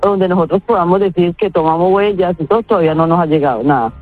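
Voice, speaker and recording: A woman's voice: lowish voice, fast talker, no echo, faint music behind. Muffled Colombian woman giving testimony. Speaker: interviewee in a news report. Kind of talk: spontaneous testimony. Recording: muffled lo-fi report clip.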